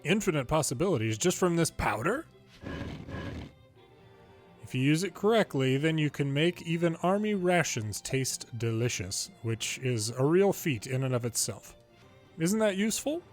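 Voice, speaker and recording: Higher-pitched man reading game dialogue over music. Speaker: longplay host reading a game's dialogue aloud. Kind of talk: reading story dialogue aloud with ad-libbed commentary. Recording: music behind the voice.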